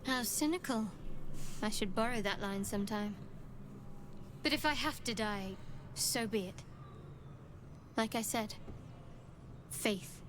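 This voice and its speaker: An actress playing a guarded, devout cult cleric in a video game, cool, wry and resolute in manner. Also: female voice, on the high side, slow, pauses often. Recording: game audio with clearly noisy background.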